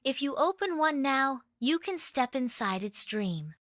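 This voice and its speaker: A woman voicing a gentle, encouraging fairy guide in a children's bedtime story. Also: high-pitched, expressive, a little slow, no echo, clean studio sound.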